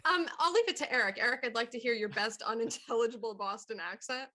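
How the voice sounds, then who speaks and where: A woman's voice: fairly high pitch, fast talker, bright, forceful tone. A woman who is a voice actor, bantering casually in a group chat.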